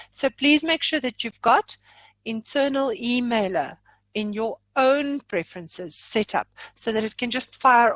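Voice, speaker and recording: A woman with a fairly high voice leads an informal online training session. She talks in a chatty, playful way with plenty of exaggeration as she walks attendees through software. The sound is dry and close. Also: no room sound, rough recording. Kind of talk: informal live webinar walkthrough.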